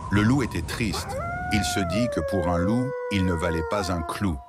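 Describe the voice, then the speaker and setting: A man narrating a scripted children's story as storyteller, in an expressive, dramatic way. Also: deep voice, moderate pace, very noisy.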